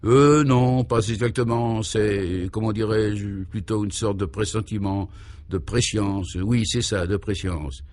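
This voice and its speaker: A man voicing a troubled governor in a radio serial, grave and anxious, thinking aloud in halting, solemn phrases. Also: on the low side, steady tone, a little mellow, slight background noise. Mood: pensive, searching.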